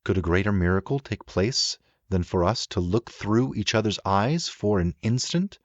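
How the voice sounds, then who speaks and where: Male audiobook narrator, lowish voice, formal measured literary delivery, dry and slightly dull sound. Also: no echo.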